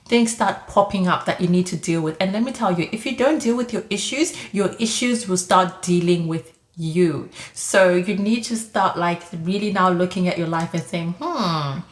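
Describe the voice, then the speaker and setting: A woman, giving chatty advice in a casual monologue, full of "like" and "you know". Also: lowish voice. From Zimbabwe. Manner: chatty, frequent "like" and "you know", light laughs. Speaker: vlogger giving immigrant advice. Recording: echoey room.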